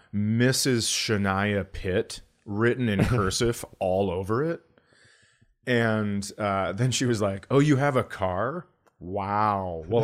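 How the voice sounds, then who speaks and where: Sardonic man with a lowish voice. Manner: sardonic, sweary. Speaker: comedy podcast co-host. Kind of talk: casual comedic banter.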